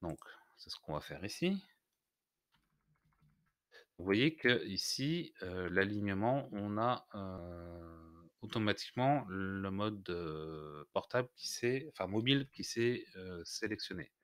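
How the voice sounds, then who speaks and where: A man narrating an unscripted software tutorial, explaining step by step in a hesitant way. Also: deep voice, animated, slow, dry recording, clean studio sound. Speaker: tutorial host.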